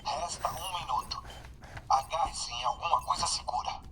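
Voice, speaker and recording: An actor voicing an impersonal medical alarm machine in a Brazilian video game dub, giving calm procedural announcements. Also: male voice, fairly high pitch, normal speed, a little hiss or hum. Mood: impassive. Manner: flat, procedural. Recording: echoey, distant, music behind. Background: music.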